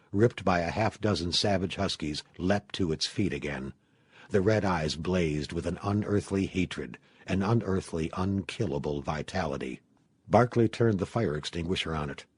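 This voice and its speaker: A man's voice: deep voice, breathy edge, no echo. A man reading scripted horror fiction as an audiobook narrator in a dramatic storytelling style.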